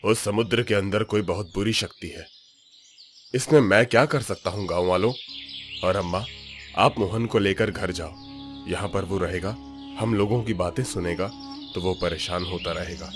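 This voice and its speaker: A man voicing a solemn village headman in a cartoon story, warning gravely. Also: deep voice, moderate pace, faint music behind, natural voice. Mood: weary.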